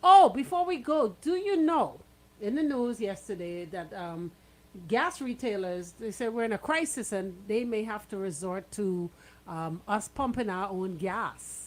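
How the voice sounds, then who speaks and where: A woman radio host speaks at a middle pitch. Her style is casual banter, moving between station talk and easy conversation. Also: animated, a little slow, a little mellow, rough recording.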